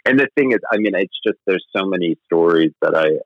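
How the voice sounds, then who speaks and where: Low-voiced, hesitant man on a muffled line. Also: lively intonation, fast talker, no echo. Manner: rambling and hesitant, full of restarts, self-deprecating. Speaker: novelist guest. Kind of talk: casual interview chat. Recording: slightly muffled dry sound.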